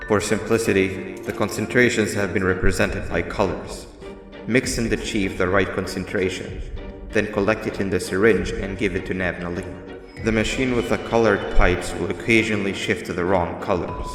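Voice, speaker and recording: An actor voicing a calm, grave monster mascot in a video game, giving measured, formal instructions like a schemer. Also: male voice, low voice, lightly acted. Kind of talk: scripted video game dialogue. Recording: roomy reverb with music underneath.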